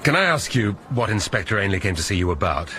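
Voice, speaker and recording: An actor playing a shrewd police inspector questioning a suspect with dry irony. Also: male voice, fairly low pitch, sing-song, quick pace, noisy background. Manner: dry, probing.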